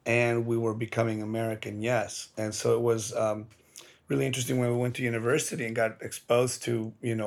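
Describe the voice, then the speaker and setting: A man's voice: mid-range voice, creaky. A middle-aged man from Mexico, an artist speaking earnestly in a documentary interview, explaining with fillers and restarts.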